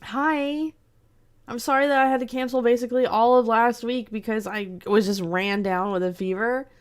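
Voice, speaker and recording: A woman's voice: a little high, steady tone, normal speed, smooth. A young woman hosting a casual livestream and greeting her chat by name. She sounds congested and sniffly from a cold and laughs often. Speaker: streamer host.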